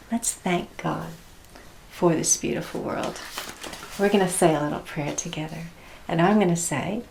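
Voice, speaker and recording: A woman's voice: lowish voice, creaky, darkish tone. A woman teaching in a children's storytime style, with simple instructive phrasing and checking questions. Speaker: Sunday school teacher and storyteller. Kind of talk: children's read-aloud and teaching demonstration.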